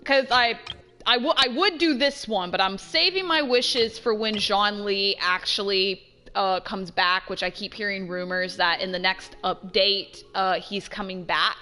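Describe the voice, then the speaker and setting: A woman's voice: medium pitch, unhurried, bright, forceful tone, faint music behind. A woman game streamer giving casual let's play commentary as she plays.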